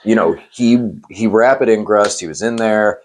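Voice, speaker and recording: A man hosting a casual post-game chat, hoarse and losing his voice, talking in rambling, self-correcting runs and teasing his co-host. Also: lowish voice, moderate pace, a little hiss or hum. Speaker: channel host.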